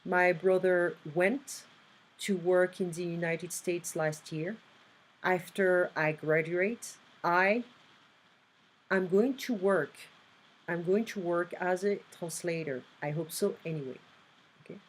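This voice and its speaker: Female English tutor, low husky voice, patient and explanatory, screen-share lesson. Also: slow pace, low-quality recording. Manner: patient, explanatory, reads exercises aloud. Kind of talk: online one-on-one English lesson.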